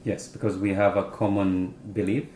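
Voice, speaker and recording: A man from Africa, a guest and father speaking in a measured, calm way. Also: low voice. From East Africa. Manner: measured, explains calmly. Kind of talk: casual conversation. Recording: echoey room, faint noise.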